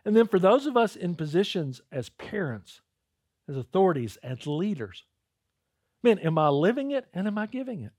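A man's voice: high voice, sing-song, normal speed, a little mellow, no echo. Man preaching, dry and close. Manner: conversational preaching, addresses crowd as "guys", repeats words when starting. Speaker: pastor. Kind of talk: sermon.

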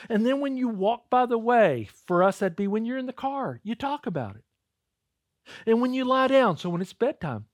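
A man's voice: extremely high pitch, no echo, studio quality. A male pastor giving a conversational sermon, calling his listeners "guys" and sometimes repeating words as he starts a thought.

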